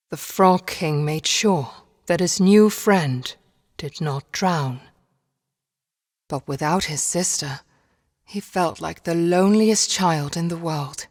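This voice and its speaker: Low-voiced woman narrating slowly, deep reverb. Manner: measured, solemn storytelling. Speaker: storybook narrator of a video game. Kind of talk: scripted dramatic fairy-tale narration. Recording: long reverberant hall-like echo.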